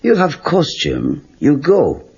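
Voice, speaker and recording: A man's voice: on the high side, huge pitch swings, slow, creaky, darkish tone, slightly rough, heavily acted. An actor playing a wise, terse old Okinawan handyman with a Japanese accent and clipped broken English in an audio story. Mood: friendly, probing. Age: older. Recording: dull cassette tape with music.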